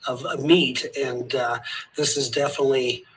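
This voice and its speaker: Bright-voiced man giving a halting testimonial. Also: medium pitch, little pitch movement, slightly rough, poor audio. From Montana, USA. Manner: halting, many fillers. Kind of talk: recorded video testimonial.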